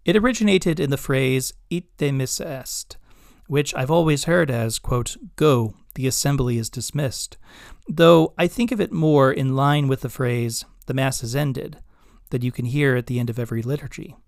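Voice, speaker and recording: A man hosting a podcast, reading his own written essay aloud in a measured, scholarly way with careful enunciation. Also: mid-range voice, creaky, darkish tone, dry recording, clean studio sound. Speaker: podcast host.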